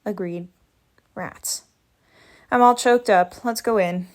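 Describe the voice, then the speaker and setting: A woman's voice: medium pitch, takes it slowly, natural voice. A woman reading aloud as a lazy, sarcastic male cartoon cat, with dry, wisecracking, grumbling lines. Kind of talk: storybook read-aloud.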